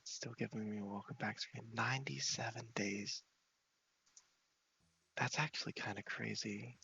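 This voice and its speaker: A man softly narrating his gameplay ASMR-style into a headset microphone in a casual, unhurried, rambling way, at times dropping to a whisper. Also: on the low side, steady tone, vocal fry, dull audio, a little hiss or hum. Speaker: host of a gaming channel. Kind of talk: soft-spoken ASMR gameplay commentary.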